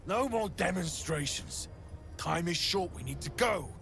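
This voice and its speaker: A brisk fantasy game cultist, with a slightly high, creaky voice. He talks tersely and impatiently, all business, over faint music. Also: expressive, a bit bright, heavily acted. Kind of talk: video game character acting.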